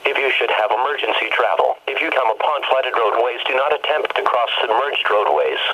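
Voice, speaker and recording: Raspy synthetic American male weather announcer. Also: mid-range voice, fairly quick, low-quality recording. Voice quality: synthetic text-to-speech voice. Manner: flat, formal, impersonal. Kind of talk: scripted weather bulletin narration. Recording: weather radio broadcast sound.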